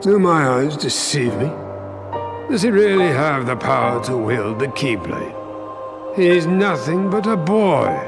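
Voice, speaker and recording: A scornful, haughty game villain, pitched a little high. His speech is formal and disdainful over clear music. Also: very animated, measured pace. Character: haughty, scornful, skeptical member of villain order. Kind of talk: video game cutscene acting.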